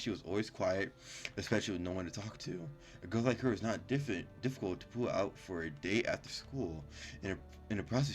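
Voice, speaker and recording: A man hosting a gaming video, reading game text aloud, stumbling over words and breaking off into profane, incredulous commentary. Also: low-pitched. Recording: music behind the voice.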